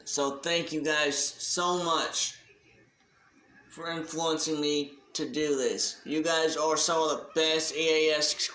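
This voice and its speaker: A man giving a casual, unscripted shout-out announcement as a hobby video creator, talking in a halting, deliberate way. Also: a little high, fairly even intonation, roomy sound.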